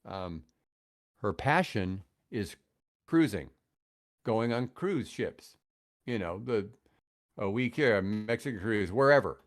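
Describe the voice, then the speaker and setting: A middle-aged or older man in casual conversation as a podcast guest, reflective and rambling, often pausing mid-thought. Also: on the low side, expressive. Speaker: podcast guest, radio host. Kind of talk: casual chat.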